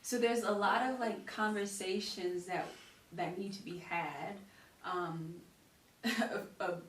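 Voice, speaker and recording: A woman's voice: medium pitch, steady tone, takes it slowly. A woman from the United States, a guest and beauty brand founder, speaking earnestly and reflectively from her own experience. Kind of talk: casual conversational interview. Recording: roomy echo, distant mic, faint hiss.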